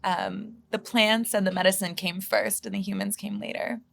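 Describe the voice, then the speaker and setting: A woman guest on a podcast speaks in an earnest, reflective way. Her voice carries a steady gravelly fry, and her pitch stays within a narrow band. She keeps returning to "you know" as she works through her points. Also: moderate pace, slight background noise. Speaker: guest, healer and psychedelic guide. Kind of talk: podcast conversation.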